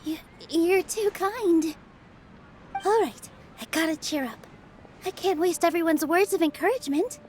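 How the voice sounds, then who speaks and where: Casting: sweet-natured young stage girl for a game, very high voice, polite and earnest. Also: measured pace, slight background noise. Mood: touched. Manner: polite, soft-hearted, earnest. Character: gentle, polite, earnest young female theater dancer. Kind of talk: video game voice acting.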